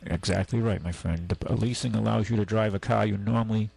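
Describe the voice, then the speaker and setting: A man's voice: on the low side, steady tone, brisk, vocal fry, a little mellow, slightly rough. A man hosting a call-in radio show, talking bluntly and folksily with callers about cars and credit.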